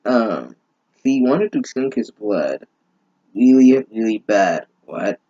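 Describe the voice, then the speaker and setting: Exasperated man with animated, swooping pitch. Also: takes it slowly, breathy edge, lo-fi sound. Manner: exasperated, sarcastic, sweary. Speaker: host of a bad-fanfiction reading series. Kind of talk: mocking dramatic reading with asides.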